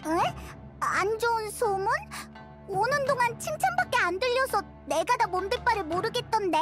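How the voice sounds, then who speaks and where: A woman voicing a small, excitable, childlike fairy companion in a game, with a cutesy, exclamatory delivery. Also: extremely high pitch, normal speed. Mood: surprised, indignant. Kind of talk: game voice acting. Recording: music behind the voice.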